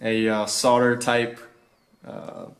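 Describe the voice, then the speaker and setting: A young man presents a student project informally. His delivery is off-the-cuff and laid-back, with hesitant pauses. His voice sits at an average pitch for a man. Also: flat delivery. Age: young adult.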